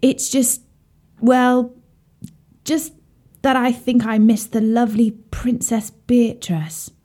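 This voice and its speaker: A woman's voice: a little high, lively intonation, normal speed, mellow, voice pushed up. A woman voicing a glum, lovelorn male frog who was once a prince, mournful and hesitant, in a children's story. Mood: glum, pining.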